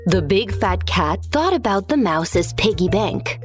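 A woman's voice: medium pitch, very animated, unhurried, faint music behind. A woman narrating a scripted children's story as a storyteller.

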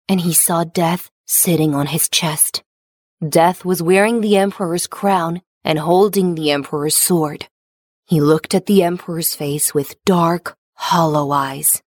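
A woman's voice: lowish voice, no room sound, clean studio sound. A woman narrator reading a children's fairy tale as scripted storybook narration.

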